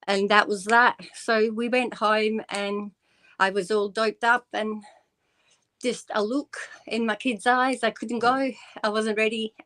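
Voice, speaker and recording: A woman speaking as a guest on a video call, telling her story in a halting way with many pauses. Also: mid-range voice, a bit bright. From Scotland.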